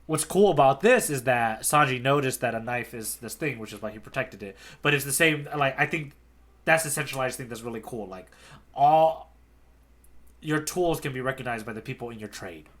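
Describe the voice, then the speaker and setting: Male podcast co-host, bright tone, mid pitch, casual and slangy, thinks out loud. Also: expressive, moderate pace. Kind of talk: casual conversational discussion.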